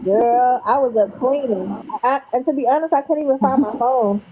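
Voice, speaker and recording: A woman's voice: high-pitched, normal speed, dark tone, a little hiss or hum. Chatty tired woman. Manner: chatty, loose and laughing. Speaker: channel host. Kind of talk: casual chat with a viewer. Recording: dull lo-fi sound.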